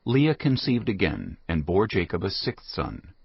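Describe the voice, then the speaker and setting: A man's voice: low-pitched, wildly swooping pitch, measured pace, gravelly, slightly rough, lo-fi sound. A man reading scripted narration for a dramatized audio Bible. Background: background music.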